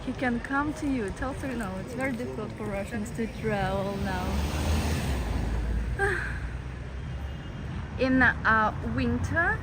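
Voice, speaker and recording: A woman's voice: fairly high pitch, lively intonation, unhurried, long pauses. A chatty woman on a busy street. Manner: chatty, reads out chat comments. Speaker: travel vlogger. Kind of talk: live-stream walking tour. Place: city street outdoors. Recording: street noise and traffic behind.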